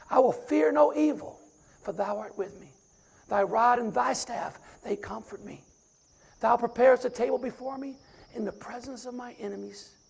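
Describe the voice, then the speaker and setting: Middle-aged deacon, raspy and husky, preaching a sermon. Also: male voice, very high voice, darkish tone. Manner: recites scripture from memory with rising emphasis.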